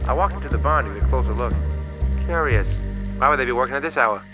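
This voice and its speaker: A man playing a wisecracking, hard-boiled private detective in an old-time radio drama, with a deadpan tough-guy delivery. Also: mid-range voice, fast, close to an everyday voice, rough recording. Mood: wry narrating. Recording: music behind, old radio styling.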